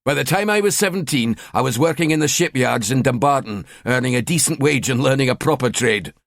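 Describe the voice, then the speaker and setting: A man's voice: medium pitch, animated, brisk, vocal fry, a bit bright, no room sound, clean studio sound. A man voicing a cynical middle-aged detective with a Scottish accent, grumbling and blunt.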